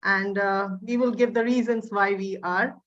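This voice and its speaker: A woman's voice: medium pitch, steady tone, normal speed, a bit bright, dull audio. A woman from India hosting an online group call, hesitant with many fillers. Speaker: host.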